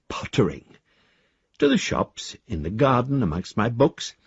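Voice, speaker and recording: An actor playing an older, genteel, bookish English retiree, husky-voiced, courteous and musing as he reads letters aloud in a radio drama. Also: medium pitch, big pitch swings, unhurried, dry recording, exaggerated voice. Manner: courteous, wordy, hesitant, musing. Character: genteel, bookish retired old man, politely stubborn. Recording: dry, slightly dull studio sound.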